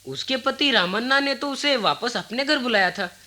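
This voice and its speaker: A woman's voice: fairly high pitch, expressive, moderate pace, bright, forceful tone. A woman playing a curious, puzzled, respectful village schoolboy in a radio play. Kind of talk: Hindi radio play acting.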